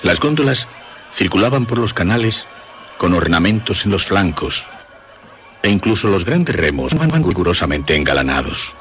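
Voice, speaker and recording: A man playing an atmospheric storyteller, narrating a dark radio tale in measured literary phrases. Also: deep voice, sing-song. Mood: ominous, atmospheric. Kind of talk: scripted radio horror narration.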